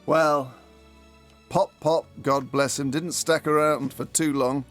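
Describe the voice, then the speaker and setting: An actor playing an eccentric detective inspector in a comic audio drama, pompous and given to sentimental storytelling. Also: male voice, on the high side, steady tone, slow, a bit bright, faint music behind. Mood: wistful reminiscing.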